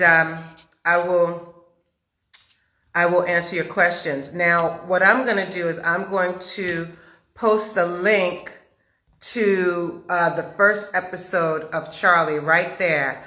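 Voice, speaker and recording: A woman's voice: fairly low pitch, sharp tone. A woman hosting a casual live-stream chat interview over a video call, talking in an effusive, gushing way. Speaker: host of a live chat interview, audio drama maker.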